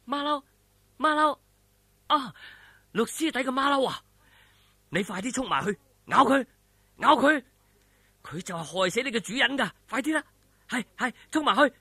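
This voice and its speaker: A man voicing a cunning, urgent young swordsman hero in a Cantonese martial arts story, shouting and goading with a Hong Kong accent. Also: very high-pitched, expressive, normal speed, long pauses, slightly rough, dry recording, voice pushed up, full character voice.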